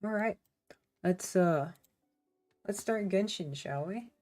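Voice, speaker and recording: A woman's voice: mid-range voice, takes it slowly, a little mellow, faint music behind. A woman streamer giving casual gameplay commentary, rambling and talking to herself with lots of fillers. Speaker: small streamer.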